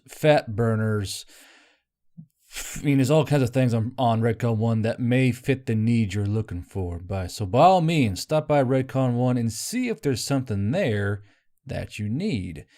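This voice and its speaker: Guy host reading sponsor stuff off the cuff, mellow dark voice, medium pitch, rambly. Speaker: channel host. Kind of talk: casual, rambling sponsor read.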